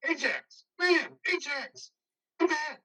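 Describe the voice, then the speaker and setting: A man's voice: extremely high pitch, expressive, slow pace, bright, forceful tone, heavily acted. A man playing a worried, exasperated workmate in a play reading, informal, slangy and urgent. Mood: alarmed shouting. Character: worried, exasperated workmate covering for troubled friend. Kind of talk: play reading, modern drama.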